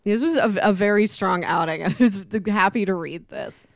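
A woman's voice: medium pitch, normal speed, vocal fry, dry recording, clean studio sound. A woman hosting a podcast, chatting casually and informally in a musing way about books. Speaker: podcast host. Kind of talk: casual book discussion chat.

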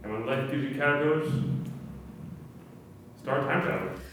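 A man's voice: a little high, normal speed, fairly bright tone. A man from an amateur cast talking in a casual, informal way. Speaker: amateur radio play cast member. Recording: echoey distant room, faint noise.

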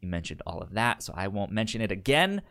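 Low-pitched man, halting casual podcast host. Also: sing-song, vocal fry, bright, forceful tone. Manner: hesitant, halting delivery with stammered repeated syllables. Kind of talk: casual film review chat.

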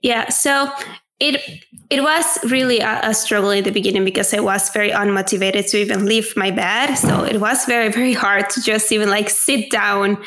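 A woman's voice: mid-range voice, fairly even intonation, moderate pace, a bit bright. A woman in her twenties from Venezuela, a native Spanish speaker, answering interview questions candidly with frequent fillers. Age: 20s. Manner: open, candid, with filler words like "like" and "um". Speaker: podcast guest.

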